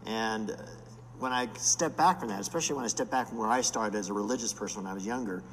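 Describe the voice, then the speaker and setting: A man's voice: fairly low pitch, fast talker, fairly bright tone, big-room sound, a little hiss or hum, poor audio. A man in his sixties, a paleontologist and geologist, arguing bluntly in a panel discussion. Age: 60s.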